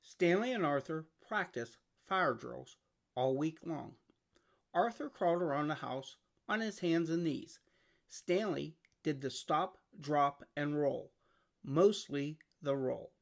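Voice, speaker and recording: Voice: mid-range voice, lively intonation, slow pace, gravelly. A storyteller reading a scripted children's picture book aloud. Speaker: storybook reader.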